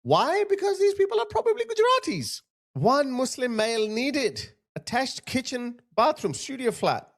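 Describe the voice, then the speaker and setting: A man's voice: very animated, moderate pace. A man from Pakistan giving emphatic, sarcastic opinion commentary. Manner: emphatic, sarcastic, big pitch swings.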